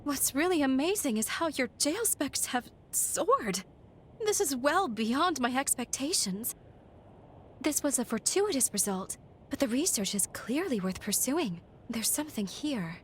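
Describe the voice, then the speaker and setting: An actress playing an eccentric, eager young female scientist in a video game, with a playful, theatrical and expressive delivery, in anime-style acting. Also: high voice, measured pace, a little hiss or hum. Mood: playful, intrigued. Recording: voice over background game music.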